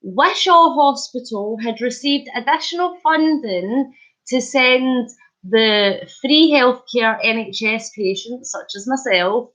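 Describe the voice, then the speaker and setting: A woman's voice: fairly high pitch, takes it slowly. A Scottish woman chatting casually with viewers on a live stream, greeting them by name, her voice a little thick from a head cold and sore throat. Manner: chatty, warm greetings, chuckles. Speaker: vlogger hosting a live stream. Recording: lo-fi dull sound.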